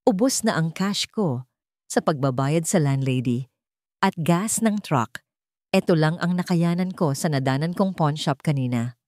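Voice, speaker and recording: A woman's voice: sits low, lively intonation, a little slow, darkish tone, no echo, studio quality. A woman storyteller voicing an earnest, humble young man who proposes tenderly in short, solemn phrases. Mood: shy, humble.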